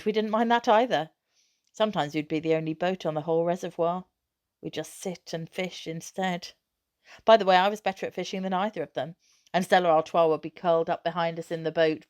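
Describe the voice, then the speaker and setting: A woman narrates a children's novel in a fairly low voice. She reads scripted prose aloud with expression, as a storybook reader. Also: darkish tone, no echo, clean studio sound.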